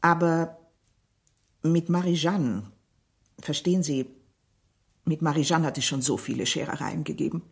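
A woman's voice: deep voice, moderate pace, vocal fry, long pauses. An actress playing a guarded, uneasy middle-aged village mother in a German radio play, terse and defensive in her answers. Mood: anxious, defensive.

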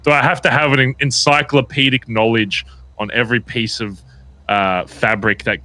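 A man's voice: mid-range voice, fairly even intonation, normal speed, sharp tone. A man improvising an in-character phone chat in a role-play game, rambling and hesitant, with some swearing. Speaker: gamer playing a biker role-play character.

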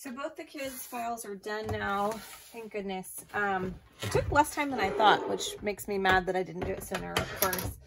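A woman's voice: mid-range voice, moderate pace, vocal fry, over music. A woman in her thirties, a home vlogger, narrating casually over her cleaning footage, chatty and full of "like". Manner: chatty, filler-heavy with frequent "like". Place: home, indoors.

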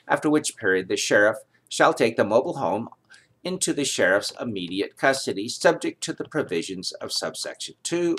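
A man in his sixties reads a legal text aloud in a fairly deep voice. His pitch moves up and down a great deal as he goes. The delivery is formal, with careful enunciation of each figure and reference. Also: a bit bright. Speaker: reader of his own legal reference book. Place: home study lined with bookshelves, indoors.

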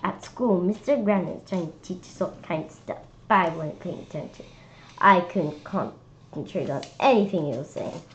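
Woman reader, children's storybook read-aloud, slow and halting with restarts, lo-fi recording. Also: mid-range voice, slight background noise. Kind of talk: reading a picture book aloud. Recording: slightly dull lo-fi sound.

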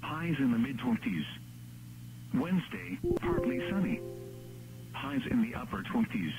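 A synthetic male announcer reading scripted weather bulletins in a measured, impersonal text-to-speech delivery. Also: on the high side, wildly swooping pitch, vocal fry, loud music behind the voice. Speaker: automated weather radio announcer. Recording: noisy radio broadcast with hum.

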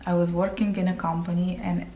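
A woman in her thirties from Moldova, speaking English with a Romanian accent, chatting to camera while painting her face, often pausing for words. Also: on the low side, level tone, roomy sound, dull audio, a little hiss or hum. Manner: chatty, searching for words, with fillers. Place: small room indoors.

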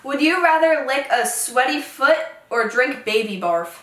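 A young woman hosting a casual vlog, reading out questions with a squeamish, giggly manner and a slightly hoarse voice. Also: high-pitched, expressive, unhurried, fairly bright tone. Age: young adult. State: slightly hoarse, losing her voice. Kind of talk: casual chat. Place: small bedroom, seated on a bed. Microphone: far camera mic. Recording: roomy, distant camera sound.

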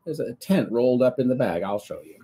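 A man's voice: medium pitch, animated, a little fast, mellow, breathy edge, voice pushed up. A man voicing a patient, kindly old farmer in a storybook reading. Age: older. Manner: patient, a little fussy.

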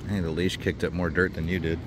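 A man's voice: deep voice, flat delivery, fast talker, noisy background. A man, a dog trainer, talking playfully to his dogs on a beach at night, recorded on a handheld camera. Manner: coaxing, playful talk to dogs. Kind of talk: casual talk to his dogs.

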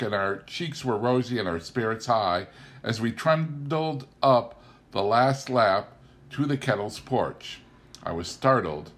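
Middle-aged male book narrator, slightly low register, unhurried scripted storytelling. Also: fairly bright tone. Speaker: audiobook reader.

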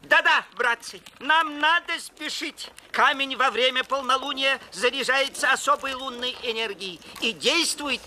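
An actor playing an eager, earnest little boy scientist in a cartoon, lecturing with excitement. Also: sits high, a little slow, hardly any pauses, noisy background. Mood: eager, explaining. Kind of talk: animated cartoon acting.

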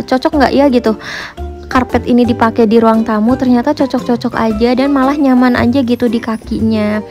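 A woman in her thirties, a housewife vlogger, narrating her chores in a friendly, chatty voice-over that keeps addressing her viewers. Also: fairly high pitch. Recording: voice-over with music bed. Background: background music.

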